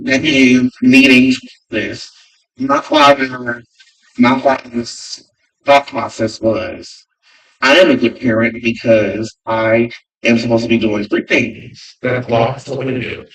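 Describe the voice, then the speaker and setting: Man guest, gravelly, emphatic, repeats himself for effect, lively pitch, sits away from the mic. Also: slow pace. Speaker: podcast guest. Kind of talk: casual chat. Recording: distant from the mic.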